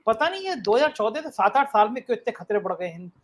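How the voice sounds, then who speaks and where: A man's voice: high voice, sing-song, fast talker. A man hosting a political talk show, putting pointed, ironic questions in a conversational way. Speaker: host, debate moderator.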